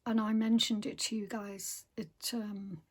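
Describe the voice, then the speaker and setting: A woman vlogging casually while she stitches at home, rambling softly with many pauses, her voice croaky from a sore throat. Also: medium pitch, flat delivery. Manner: soft-spoken, rambling, many pauses and fillers, often half-whispered. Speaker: craft vlogger. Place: home room with window open.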